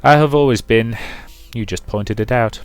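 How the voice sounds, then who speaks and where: A man voicing a stern, weary, bookish gentleman in a visual novel, measured, curt and reserved. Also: mid-range voice, smooth, no echo, soft background music, lightly acted. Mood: stern, subdued.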